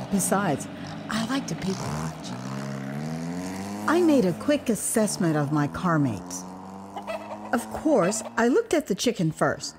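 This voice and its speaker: An American woman narrating her own memoir as an audio drama, expressive and wry, with conversational asides. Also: medium pitch, background noise. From United States. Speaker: author and storyteller.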